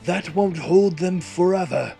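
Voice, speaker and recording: A man's voice: sits high, measured pace, gravelly, warm tone, soft background music, pitched above the performer's own voice. A man voicing commanding, resolute soldiers of an ancient Greek fantasy in an audio drama, declaiming urgent orders.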